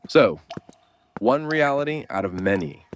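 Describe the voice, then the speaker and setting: A man's voice: medium pitch, expressive, unhurried, slight background noise. An actor playing a middle-aged, weary and wounded survivor in a horror audio drama, wry and slangy as he guides someone. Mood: guarded, hushed urgency.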